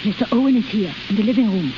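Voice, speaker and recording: Deferential household attendant, high-pitched, courteous, few words. Vintage radio drama with faint hiss. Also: male voice, expressive, rapid-fire. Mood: dutiful. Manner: polite, brief. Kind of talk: 1930s radio drama acting. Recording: dull, hissy 1930s radio transcription.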